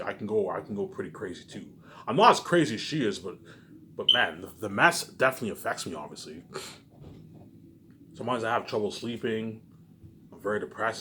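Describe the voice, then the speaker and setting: Low-pitched man, rambling over background noise. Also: fairly bright tone. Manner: repetitive, with emphatic bursts trailing into muttered tags; frequent swearing. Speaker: vlogger. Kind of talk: rambling casual monologue. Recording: clearly noisy background.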